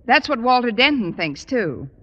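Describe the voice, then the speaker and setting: A woman's voice: a little high, big pitch swings, fairly bright tone, highs cut off. An actress playing a wry, dry-witted female schoolteacher in a 1950s radio sitcom. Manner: wry, quick with quips. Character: wisecracking dry-witted female English teacher. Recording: old radio broadcast with audience and hiss.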